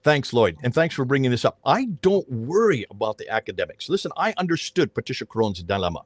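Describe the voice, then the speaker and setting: Emphatic middle-aged man, lively pitch. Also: fast talker, no room sound. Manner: emphatic, repeats phrases, restarts often. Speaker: host of a panel discussion, street preacher. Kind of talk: animated casual panel talk.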